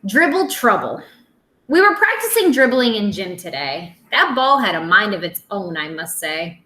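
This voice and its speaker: A woman teacher reading aloud to young children in an expressive, playful, dramatic way. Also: medium pitch, moderate pace, echoey room.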